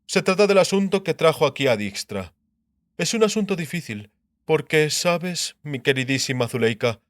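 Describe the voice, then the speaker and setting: A man's voice: a little high, animated, a bit bright, no room sound, clean studio sound. A man voicing a shrewd, grumbling king and sardonic husband in an audiobook, musing aloud. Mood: shrewd, deliberating. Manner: musing aloud, muttering, drawn-out syllables. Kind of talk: dramatised audiobook character acting.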